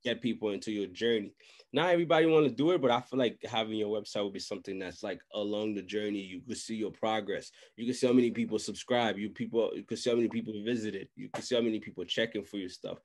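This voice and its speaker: A man's voice: fairly low pitch, quick pace. A young man hosting a casual interview, talking informally with frequent conversational tag phrases. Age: young adult. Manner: informal, repeats tag phrases like "you know what I mean". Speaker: podcast host and interviewer. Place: small room at home, video call. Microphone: large desk microphone close to mouth.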